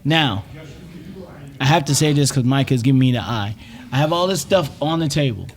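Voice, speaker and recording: A man's voice: mid-range voice, normal speed. A middle-aged man hosting a podcast, encouraging and upbeat, often checking in with "all right?". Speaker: podcast host, jiu-jitsu coach. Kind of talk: casual podcast chat. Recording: noisy room with crowd chatter.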